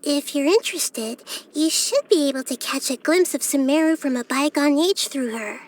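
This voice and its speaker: A woman performs a small child-like goddess from a fantasy video game, her voice high and girlish. She speaks gently and thoughtfully, like someone far wiser than she sounds. Her phrasing is measured and earnest, with a steady curiosity about people and the world. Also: close to an everyday voice. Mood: reflective. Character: small wise young girl deity, gentle and curious. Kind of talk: scripted video game character voice lines.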